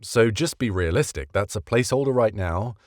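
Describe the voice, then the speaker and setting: A man's voice: fairly low pitch, expressive, a little fast, dry recording, clean studio sound. A man talking casually and explaining like a coach, posing questions and repeating key words for emphasis. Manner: conversational, rhetorical questions, emphatic repetition. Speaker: voiceover coach giving career advice. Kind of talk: casual explanatory talk to camera.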